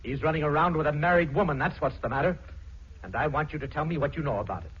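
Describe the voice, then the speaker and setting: A man's voice: on the high side, quick pace, fairly bright tone, husky. An actor playing an indignant, suspicious, bullying family man in a 1940s radio drama, accusatory and blustering. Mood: accusing. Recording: dull 1940s radio recording.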